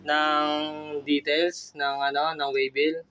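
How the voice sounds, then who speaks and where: A man with a Filipino accent on a phone call as a customer, complaining in a halting, insistent way. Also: a little high, level tone, bright, forceful tone, smooth, faint music behind. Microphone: telephone.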